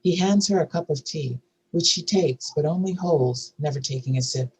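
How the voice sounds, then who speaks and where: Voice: mid-range voice, moderate pace, darkish tone, breathy edge, poor audio. A narrator reading screenplay stage directions and scene headings aloud at a scripted table read.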